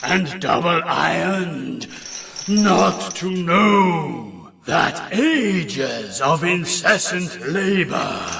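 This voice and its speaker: A man's voice: a little high, expressive, drawn-out words, gravelly, pushed and bright, heavily acted. A British man playing a grumpy, wailing ghost in chains in a comic audiobook parody, shifting between doleful declaiming and irritable grumbling.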